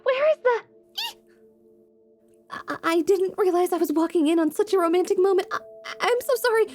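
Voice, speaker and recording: A bubbly young adventurer woman, acted by a woman, eager and cheerful in a fantasy audio roleplay. Her voice sits high and bright in temper, tumbling into flustered chatter, with soft music underneath. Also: expressive, normal speed. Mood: flustered, excited. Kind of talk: fantasy ASMR roleplay acting.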